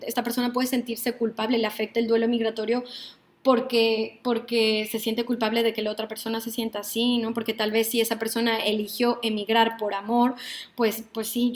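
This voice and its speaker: Mexican woman, workshop lecturer, thoughtful, fairly high voice, checks in with listeners as she goes. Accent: Mexican Spanish. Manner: thoughtful, frequent "¿no?" tags and hesitations.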